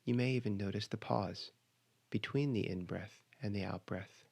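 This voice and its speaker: A man leading a guided meditation, giving measured, instructional cues with pauses between phrases. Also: lowish voice, vocal fry, darkish tone, breathy edge.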